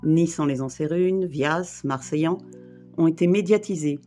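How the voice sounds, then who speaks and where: A woman in her fifties from the Paris region, reading a personal testimony in a measured, formal way. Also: sits low, dark tone. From France, Île-de-France. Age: 50s. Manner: measured, formal, list-like delivery. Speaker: brief therapist and volunteer rescuer. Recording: guitar music behind.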